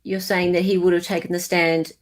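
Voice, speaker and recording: A woman's voice: lowish voice, level tone, a little fast. A woman with an Australian accent hosting a casual panel discussion, agreeing and restating points to check them.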